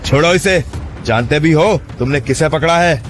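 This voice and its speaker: A man acting a commanding, arrogant rich man in an audio drama, speaking deliberately. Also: a little high, soft background music, lightly acted. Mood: haughty. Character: arrogant cruel rich stepbrother; also solemn ancient dragon king.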